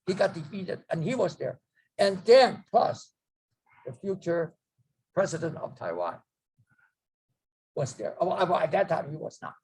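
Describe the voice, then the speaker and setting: A man, telling his life story to a group, rambling and halting with many fillers and restarts. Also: fairly high pitch, sing-song, creaky, darkish tone, breathy edge, poor audio. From China. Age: 90s. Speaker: guest speaker. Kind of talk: storytelling memoir.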